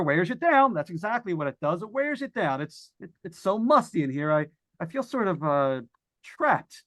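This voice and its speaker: A man's voice: high voice, fairly bright tone. A man playing a nervous, lonely radio broadcaster unravelling in a haunted house, stammering and rambling in 1940s radio suspense style. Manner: stammering, halting, rambling with repeated words. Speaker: actor in old-time radio play. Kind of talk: 1940s radio suspense acting, live monologue.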